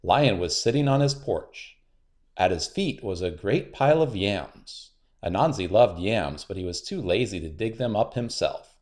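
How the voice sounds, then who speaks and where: A man's voice: lowish voice, animated, measured pace, darkish tone. A man narrating a children's storybook aloud, expressively and with dramatic emphasis.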